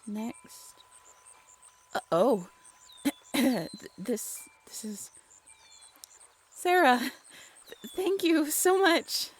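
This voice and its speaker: A woman acting a bashful, affectionate young writer on a date in an intimate audio roleplay, halting and playfully teasing. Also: high-pitched, very animated, breathy edge, exaggerated voice. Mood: delighted, grateful.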